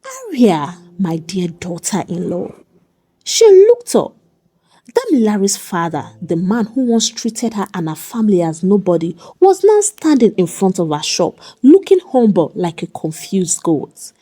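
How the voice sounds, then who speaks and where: A woman telling a folk tale in an animated storytelling style. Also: medium pitch, moderate pace.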